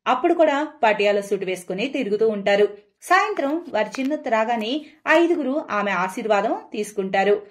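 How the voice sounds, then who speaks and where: A woman's voice: a little high, lively intonation, a little fast. A woman reading scripted storybook narration for a cartoon tale.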